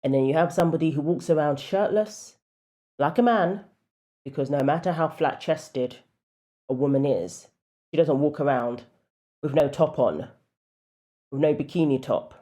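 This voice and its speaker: A woman with a deep voice giving casual, opinionated commentary with wry asides. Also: normal speed, pauses often, warm tone.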